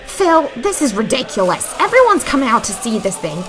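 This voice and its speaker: A woman's voice: fairly high pitch, sing-song, moderate pace, a bit bright. A woman acting an exasperated, outspoken character in an audio drama, pressing with pointed questions. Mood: exasperated, alarmed. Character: exasperated, outspoken woman confronting her stubborn partner. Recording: music behind the voice.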